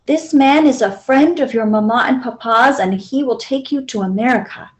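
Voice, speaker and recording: A woman voicing a loving old Mexican grandmother, gentle and sorrowful, in a storybook. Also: fairly high pitch, moderate pace, close to an everyday voice. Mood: tender, firm.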